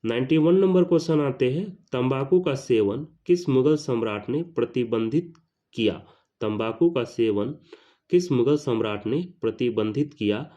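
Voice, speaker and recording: A man's voice: mid-range voice, lively intonation, dark tone. Indian male teacher, slightly dull recording. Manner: repeats questions and answers deliberately. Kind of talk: exam question-and-answer lesson.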